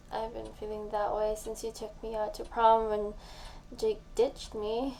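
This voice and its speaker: A tipsy young woman played by a voice actress pours out her love to her best friend in an intimate audio drama. Her voice is soft, mellow and fairly high, breathy and halting, with slow, slurred words. There is faint noise behind her. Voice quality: soft, breathy, often dropping to a whisper. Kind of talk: intimate audio roleplay acting.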